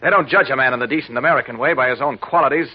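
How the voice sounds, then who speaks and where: An actor playing a resolute, righteous newspaper reporter with a firm manner in a 1940s radio drama. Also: male voice, mid-range voice, very fast, lightly acted. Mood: righteous urgency. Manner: firm, moralizing, urgent. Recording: dull 1940s radio recording.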